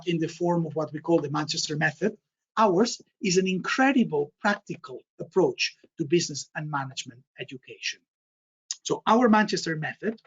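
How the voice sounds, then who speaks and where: A man with a Spanish accent gives an online presentation in a slightly high, husky voice. He stumbles through fillers and repeats key words for emphasis. The audio is muffled and lo-fi, like a video call. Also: fairly bright tone. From Spain. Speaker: MBA programme director. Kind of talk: online information session presentation.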